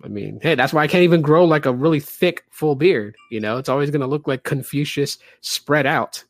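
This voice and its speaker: A man's voice: fairly high pitch, brisk, no echo. A man in his late thirties with a light voice, hosting a casual livestreamed podcast and rambling chattily with many fillers. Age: late 30s. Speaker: podcast host.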